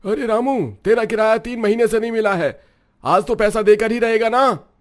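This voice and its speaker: A man voicing a greedy, bullying landlord in a cartoon, with a loud, taunting, high-pitched delivery. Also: moderate pace, lightly acted. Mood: sneering, threatening. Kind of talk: cartoon voice acting.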